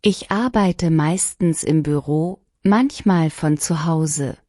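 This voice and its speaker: A woman reading short lesson sentences one at a time, each clearly and deliberately, for learners to repeat. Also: low-pitched, mellow, no echo, studio quality. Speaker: language lesson reader. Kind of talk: scripted shadowing practice reading.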